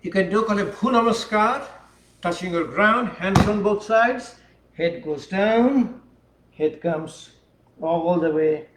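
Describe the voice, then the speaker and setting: A man teaching a yoga class, speaking calmly and unhurriedly while he demonstrates the poses. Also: high-pitched, slight background noise. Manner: calm, unhurried instruction while holding poses. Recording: echoey room, voice from far off.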